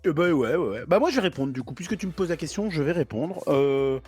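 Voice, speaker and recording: A man's voice: fairly high pitch, animated, fast, husky, soft background music. A man co-hosting a casual podcast chat, laid-back and rambling. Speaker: podcast co-host.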